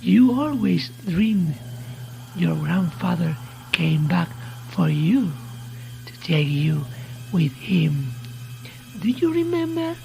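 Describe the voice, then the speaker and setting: A woman's voice: on the low side, big pitch swings, slow, vocal fry, long pauses, darkish tone, a little hiss or hum, exaggerated voice, rough recording. A woman voicing a sinister radio voice in a horror audio drama, taunting and menacing in the manner of a mock-friendly presenter. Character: sinister taunting radio voice that knows a man's fears.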